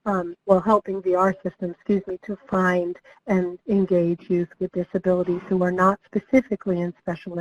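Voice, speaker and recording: A slightly hoarse woman, a project director, welcomes people to a training webinar and gives an overview, friendly and with frequent ums. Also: medium pitch, a little mellow, no echo, dull audio. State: slightly hoarse, losing her voice.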